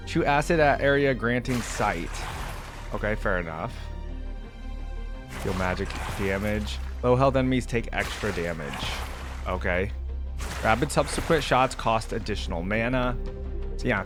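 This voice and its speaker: A man hosting a gaming reaction video, talking casually with many "like"s and "um"s and reading ability text aloud. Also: mid-range voice. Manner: curious, chatty, many likes and ums, laughs often. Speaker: gaming reaction channel host.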